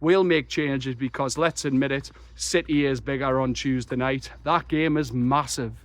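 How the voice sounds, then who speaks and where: A young man, croaky after a chest infection, talking passionately and rambling through a football match reaction. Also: mid-range voice, steady tone, moderate pace, no echo. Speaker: football fan vlogger. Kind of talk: excited solo match reaction.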